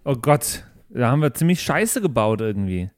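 A man's voice: medium pitch, sing-song, moderate pace. A man chatting casually as a player in a tabletop role-play. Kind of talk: casual chat.

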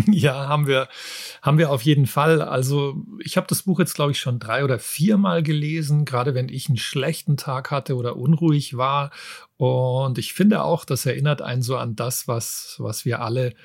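A man's voice: medium pitch, normal speed, creaky, no echo, clean studio sound. A man co-hosting a book podcast in a conversational reviewing style.

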